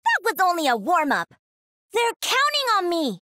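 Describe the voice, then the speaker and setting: A woman voicing a cocky, playful young female pro gamer and mech pilot in a video game, with a sassy, confident, energetic delivery. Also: extremely high pitch, normal speed, dry recording, studio quality, exaggerated voice. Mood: urgent, determined. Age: young adult.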